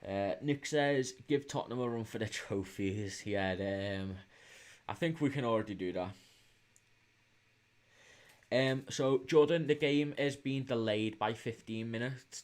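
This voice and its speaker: A man with a fairly low voice and a Liverpool accent hosts a live stream. He talks casually to his viewers, reading out their comments and answering in a hesitant, informal way. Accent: Liverpool English. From England, Liverpool. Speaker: livestream host. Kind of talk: casual live chat with viewers, football watch-along.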